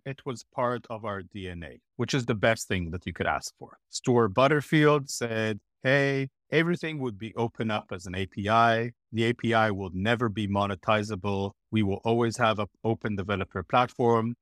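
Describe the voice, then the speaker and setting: A man's voice: fairly low pitch, moderate pace, hardly any pauses, dry recording. Blunt man from Israel, dry close sound. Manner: blunt, animated storytelling. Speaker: podcast guest, former platform executive. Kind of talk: interview answer.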